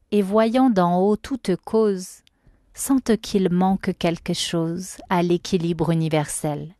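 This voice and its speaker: A woman reciting a literary poem with measured, expressive phrasing. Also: on the low side, no room sound, studio quality. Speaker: poetry reader.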